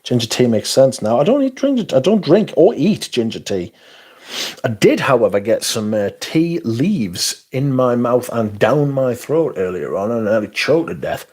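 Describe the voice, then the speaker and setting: A man's voice: mid-range voice, very animated, normal speed, darkish tone. A man hosting a livestream, reading out chat and bantering in a sweary, sarcastic way.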